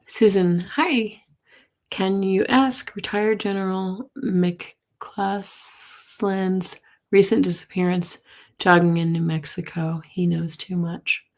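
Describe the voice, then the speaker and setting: A middle-aged woman with a hoarse, husky voice, chatting casually as host of a live tarot and astrology stream and reacting to viewer comments. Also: mid-range voice, steady tone, takes it slowly, a little mellow. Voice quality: husky, gravelly voice. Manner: chatty, reacts to comments, coughs and clears throat. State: hoarse from losing her voice.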